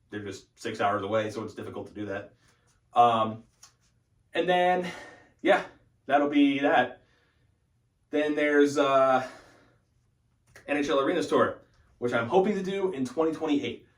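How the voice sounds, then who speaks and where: A young adult man giving a casual, informal update as the host of a hobby channel, thinking aloud as he goes. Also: mid-range voice. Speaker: host of a hockey fan channel. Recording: echoey room, distant from the mic.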